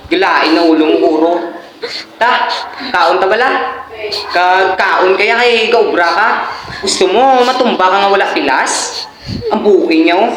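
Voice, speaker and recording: A woman's voice: mid-range voice, noisy background, lightly acted. Woman playing a chatty co-worker, echoey room. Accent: Filipino, Hiligaynon. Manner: informal, nagging. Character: chatty, caring, teasing household co-worker. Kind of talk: amateur radio drama acting.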